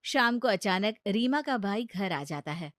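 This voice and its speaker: A woman's voice: medium pitch, lively intonation, unhurried, fairly bright tone, dry recording, studio quality. A woman narrating a scripted cartoon story as its storyteller. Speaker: narrator and voice performer.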